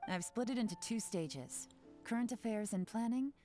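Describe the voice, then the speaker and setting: Woman doing composed businesslike spy lady from a video game, mellow and a bit teasing. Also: fairly high pitch, normal speed, lightly acted. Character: composed, sly, businesslike female intelligence operative. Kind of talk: English video game voice acting. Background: faint game music.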